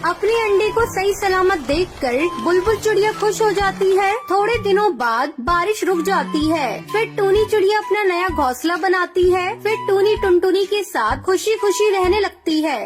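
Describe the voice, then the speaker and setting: Female narrator for children's tales, very high voice, prominent music underneath. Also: animated, normal speed, few pauses. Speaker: story narrator. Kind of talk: scripted children's story narration. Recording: music behind the voice.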